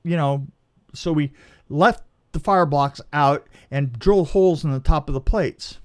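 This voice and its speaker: A man's voice: a little high, a little slow. A man telling a casual anecdote as a film crew guest, stammering and repeating words as he goes.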